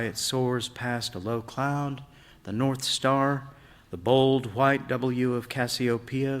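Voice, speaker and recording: A man reading a literary short story aloud on stage, in a measured, expressive way that brings out the drama. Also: medium pitch. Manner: measured, expressive, acts out dialogue. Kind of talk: dramatic literary narration read to an audience. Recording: reverberant hall, live stage reading.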